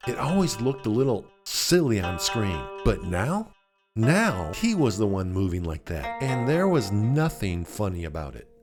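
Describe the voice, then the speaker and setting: Male storyteller, low pitch, animated intonation, suspenseful scripted narration, deliberate phrasing, music underneath.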